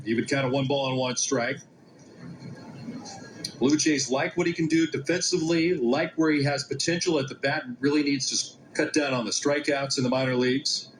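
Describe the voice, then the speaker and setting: Male baseball play-by-play announcer over clear noise. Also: medium pitch. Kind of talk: live baseball play-by-play commentary. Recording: live broadcast with clear background noise.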